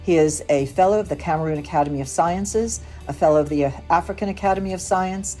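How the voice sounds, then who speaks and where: Low-voiced woman reading a formal intro. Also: quick pace, darkish tone. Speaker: podcast announcer. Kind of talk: scripted introduction. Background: music.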